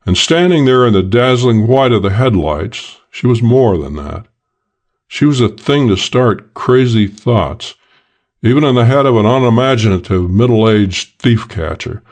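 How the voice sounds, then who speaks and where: A man's voice: mid-range voice, animated, measured pace, darkish tone. A man narrating a scripted first-person crime story as a hard-boiled, unsentimental detective. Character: hard-boiled middle-aged male detective, gruff and unsentimental. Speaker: audiobook narrator.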